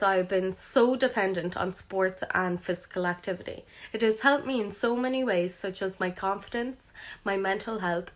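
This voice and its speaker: A nineteen-year-old Irish woman introducing herself earnestly as a pageant contestant. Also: medium pitch. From Ireland.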